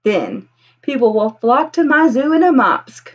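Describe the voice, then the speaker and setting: A woman's voice: sits high, sing-song, a little slow, husky, muffled recording. A woman in her thirties reading a children's picture book aloud expressively, with a singsong, rhythmic delivery. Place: small indoor room with bookshelves.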